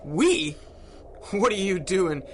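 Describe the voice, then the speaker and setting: An actor playing a nervous, whining low-level gangster in a radio drama, with stammering, slangy tough-guy talk. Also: animated, takes it slowly, a little hiss or hum. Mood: frightened. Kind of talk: old-time radio drama acting.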